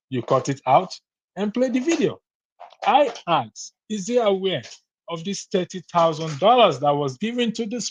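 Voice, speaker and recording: A man's voice: on the high side, low-quality recording. A Nigerian man on a call-in broadcast, firmly insisting that his point is on record. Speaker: caller on a live broadcast. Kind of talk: heated call-in debate.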